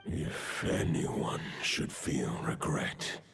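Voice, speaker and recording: A man's voice: drawn-out words, fairly bright tone, heavily acted. An actor playing an ancient, solemn wolf spirit lord in a fantasy game, grave and archaic in his phrasing. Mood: grave, resolute. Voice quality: deep, gravelly, breathy rumble. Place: studio recording with game music behind.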